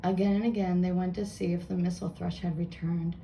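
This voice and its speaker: A woman reading a children's book aloud with expressive narration. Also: on the low side, fairly quick, gravelly, a little hiss or hum, rough recording.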